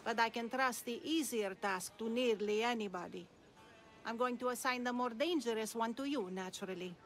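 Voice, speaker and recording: A woman's voice: a little high, moderate pace, faint music behind, natural voice. An actress playing a shrewd, enigmatic older woman of ancient Greece in a video game, composed and cryptic. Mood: testing, composed.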